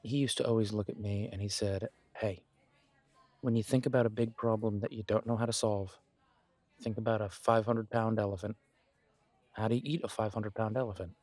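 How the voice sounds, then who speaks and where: Guy playing a supportive best friend, kinda deep voice, real dry recording, chatty and gently earnest, roleplay audio. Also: steady tone, dry recording, natural voice. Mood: reflective, reassuring. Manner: reassuring, chatty, reflective pauses. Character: warm, encouraging male best friend, self-deprecating, gently earnest. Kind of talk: intimate roleplay audio monologue, conversational.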